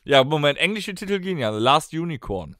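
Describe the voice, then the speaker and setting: A man co-hosting a podcast in casual, halting, teasing banter, close to the microphone. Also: on the high side, sing-song, fairly bright tone, dry recording. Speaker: podcast co-host. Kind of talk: casual banter, movie-title word game.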